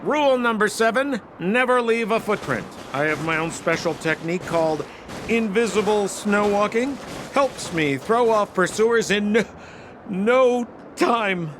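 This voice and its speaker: High-pitched, glib game con man. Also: lively intonation, unhurried, a bit bright, a little hiss or hum. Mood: boastful. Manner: glib, dramatic. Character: shifty, chatty male con-man, theatrical and wheedling. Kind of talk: video game voice acting.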